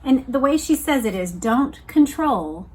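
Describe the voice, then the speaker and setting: A middle-aged woman hosting a podcast, speaking in a measured, deliberate way as she reads aloud and reflects. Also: sits high, expressive, husky. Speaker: podcast host reading a book aloud and commenting. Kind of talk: reflective solo podcast talk mixed with read-aloud passages.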